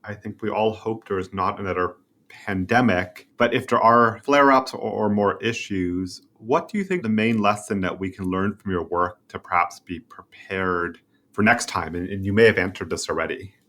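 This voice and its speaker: Low-voiced male podcast host. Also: moderate pace, pushed and bright. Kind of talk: formal podcast interview.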